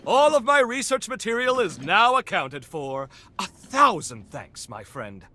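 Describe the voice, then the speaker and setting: Flamboyant, high-pitched immortal scholar. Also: male voice, big pitch swings, unhurried, pushed and bright, heavily acted. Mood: grateful. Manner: pompous, florid, dramatic, lecturing. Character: flamboyant, grandiose male immortal revenant scholar, theatrical and self-important. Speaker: video game character. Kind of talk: theatrical video game dialogue, lecturing exposition. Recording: game music behind voice. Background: game music.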